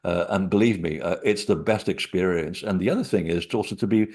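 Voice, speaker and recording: A man from Portugal, a guest author, telling digressive stories in an animated way from his small apartment living room over a computer call. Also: low voice, a little fast, slightly rough. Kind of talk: rambling storytelling interview.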